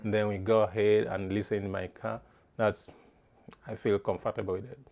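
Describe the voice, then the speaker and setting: A middle-aged man from East Africa answering interview questions in a halting way, restarting phrases as he searches for words. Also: lowish voice, flat delivery, vocal fry, a little mellow.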